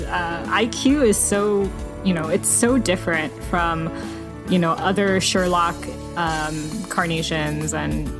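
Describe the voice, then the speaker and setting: A woman co-hosting a podcast in a casual book chat, informal and quick to giggle. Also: on the low side. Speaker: podcast co-host.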